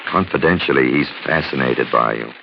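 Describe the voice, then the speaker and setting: An actor playing an urbane, unflappable gentleman detective, wry and genteel in his diction, in 1940s radio drama style. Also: very deep voice, fairly quick, gravelly. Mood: suave, teasing. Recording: muffled, noisy 1940s radio recording.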